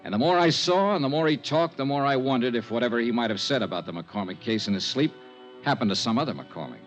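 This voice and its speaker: An actor playing a shrewd, smooth-talking insurance investigator in a 1950s radio drama, with clipped hard-boiled narration and probing questions. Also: male voice, medium pitch, animated, quick pace, lightly acted. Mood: wry, reflective. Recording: old muffled radio recording, faint music.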